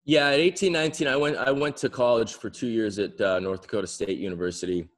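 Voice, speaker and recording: A middle-aged man from the American Midwest with an American accent, telling stories casually as an interview guest, rambling and pausing mid-thought. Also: mid-range voice. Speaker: interview guest, winery founder. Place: indoor room at home, on a video call.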